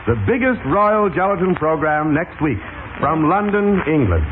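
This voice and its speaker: Old time radio announcer guy, kinda high voice, formal, tinny broadcast sound. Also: sing-song, a little hiss or hum. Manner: formal, measured. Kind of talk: scripted 1930s radio announcing.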